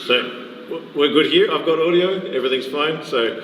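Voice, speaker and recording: A man's voice: fairly high pitch, brisk. A man hosting a casual live religious interview, informal and often repeating himself to confirm things. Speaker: host, interviewer, missionary. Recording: echoey hall, distant mic, faint noise.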